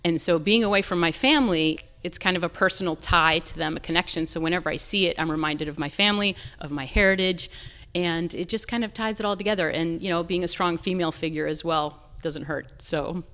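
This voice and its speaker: An American woman with a voice a little lower than most speaks as an interview guest. She explains things earnestly and in a conversational style, like a business owner talking about her work. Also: a little fast, fairly bright tone. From North Carolina, southern United States.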